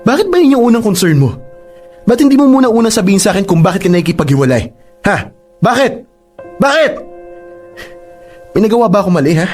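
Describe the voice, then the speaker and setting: High male voice, Filipino radio drama, young student boyfriend, affectionate, emotional, pleading, music underneath. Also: big pitch swings, fast, pauses often, a little mellow. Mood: confused, demanding. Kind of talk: Tagalog radio drama acting. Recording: music behind the voice.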